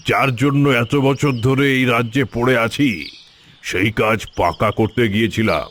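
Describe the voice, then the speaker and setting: Male actor as vengeful tantric sorcerer, cartoon fairy tale, medium pitch, deliberate, boastful, theatrical. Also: fairly bright tone, natural voice. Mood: gloating.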